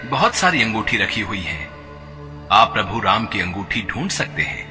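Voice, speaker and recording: A man voicing a courteous serpent king in a devotional cartoon, speaking slowly and politely. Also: fairly low pitch, big pitch swings, sharp tone, full character voice. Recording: long reverb with background music.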